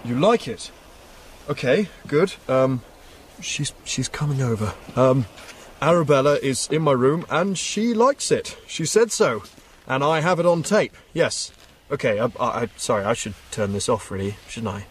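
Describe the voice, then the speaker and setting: A man's voice: mid-range voice, lively intonation, a little hiss or hum, close to an everyday voice. An actor playing an awkward, polite young English public-school man who stammers and apologises in a comedy drama. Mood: flustered, pleased. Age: young adult. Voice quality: halting, stammering. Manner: bumbling, apologetic.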